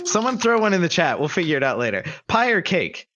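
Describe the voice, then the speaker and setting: A man hosting a casual livestream interview, playful and quick to laugh. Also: high-pitched, sing-song, fairly bright tone, muffled recording. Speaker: interview host.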